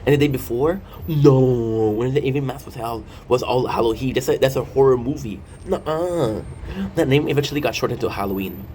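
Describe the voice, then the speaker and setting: A man in his thirties with a mid-pitched voice hosts a casual, rambling vlog. He is playful and often interrupts himself with jokey asides. There is clear noise behind his voice. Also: fairly quick, warm tone. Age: 30s. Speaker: video host.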